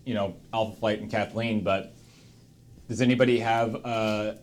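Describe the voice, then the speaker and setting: Halting, informal man hosting. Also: fairly low pitch, fairly even intonation. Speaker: host. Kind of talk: casual group chat, prompting each guest.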